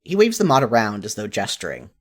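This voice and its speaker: A narrator reading a second-person story aloud in an expressive style, as in a fan fiction audiobook. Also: mid-range voice, fast.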